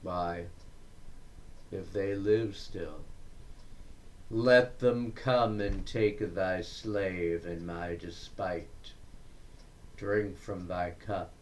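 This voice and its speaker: A man giving a dramatic recitation of Victorian verse, deliberate and declamatory, breaking the lines into short phrases with pauses. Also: low-pitched, steady tone. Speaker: reader of poetry.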